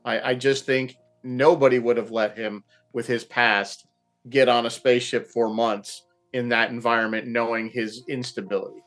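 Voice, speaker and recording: Male panelist, mid-pitched, casual and opinionated, thinking aloud haltingly, music faintly underneath. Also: flat delivery, a bit bright. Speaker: panelist in a TV show discussion.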